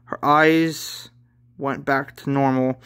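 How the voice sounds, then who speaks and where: A man reading his own story aloud in a halting way, with informal asides. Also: medium pitch, level tone, a bit bright, clear, resonant tone. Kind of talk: read-along story narration with asides.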